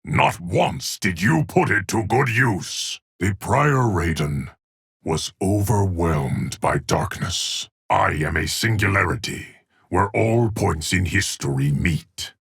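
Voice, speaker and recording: Game casting: an ageless, solemn keeper of time, low rumbling gravel, dry close sound, measured formal pronouncements. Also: male voice, animated, fairly bright tone, no room sound, exaggerated voice. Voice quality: deep, gravelly, husky. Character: solemn ageless immortal male guardian of time. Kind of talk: video game character voice lines.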